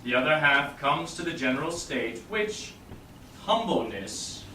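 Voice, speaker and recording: A man's voice: fairly high pitch, sing-song, sharp tone, heavily acted. Man as lofty Shakespearean ruler, formal delivery, echoey theatre, faint noise. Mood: magnanimous. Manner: formal, measured pronouncement. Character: Duke of Venice, lofty magnanimous ruler. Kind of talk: Shakespearean staged reading. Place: small indoor theatre stage. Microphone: distant audience camera. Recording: roomy, noisy theatre recording from far off.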